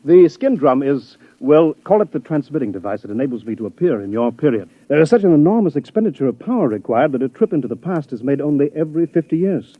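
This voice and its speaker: A man's voice: a little high, very animated, fast talker, hardly any pauses, dry recording. A man playing a grandiose, fussy art scholar who has traveled back from the future, in a 1950s radio drama. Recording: muffled old radio broadcast.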